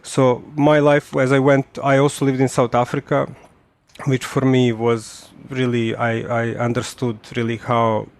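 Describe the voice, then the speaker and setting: Guest voice: man in his 40s, gravelly, even delivery, hesitant speech full of fillers. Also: mid-range voice, unhurried. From Serbia. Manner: hesitant, many fillers and restarts. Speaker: podcast guest. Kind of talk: casual conversational interview.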